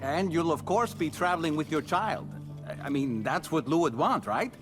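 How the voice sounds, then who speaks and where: A man's voice: on the high side, lively intonation, natural voice. A man acting in a video game as a living doll, a former spirit medium with a courtly, dramatic, encouraging manner. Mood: encouraging, excited. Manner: theatrical, courteous, deliberate. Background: background music.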